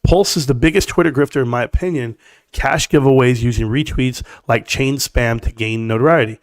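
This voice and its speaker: A man's voice: medium pitch, fairly quick, no room sound. Blunt man with a scratchy voice. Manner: blunt, emphatic, talks straight to the chat. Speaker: stock market live streamer. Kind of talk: opinionated casual livestream rant.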